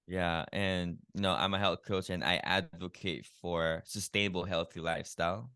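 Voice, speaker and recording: A man with a low, resonant voice tells his own story as a podcast guest. His tone is earnest and reflective, and he often uses filler phrases as he works through it. The sound is close and dry, with no room echo. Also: steady tone, normal speed, pushed and bright, clean tone. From Philippines. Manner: earnest, reflective, many "you know" fillers. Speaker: guest, keto health coach. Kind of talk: personal story in a podcast interview.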